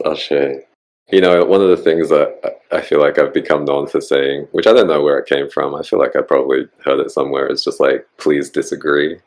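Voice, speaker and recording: A man with an Australian accent hosting a casual online group chat, musing in a relaxed way with frequent ums. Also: very deep voice, rough recording. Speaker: podcast host.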